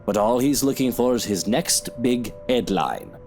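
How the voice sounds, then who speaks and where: Sarcastic, hungover husband, pitch swooping. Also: male voice, animated, measured pace, a little mellow, faint music behind. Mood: suspicious, needling. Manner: mocking endearments, needling, theatrical. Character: hungover drinking husband, jealous, sarcastic, quarrelsome man. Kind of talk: visual novel game voice acting.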